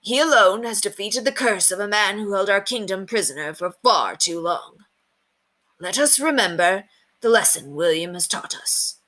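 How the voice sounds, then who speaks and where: A woman's voice: medium pitch, animated, moderate pace, a bit bright. A woman voicing a noble, kindly old knight, hearty and commanding, in a storybook read-aloud. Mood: rousing, proud. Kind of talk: storybook character reading.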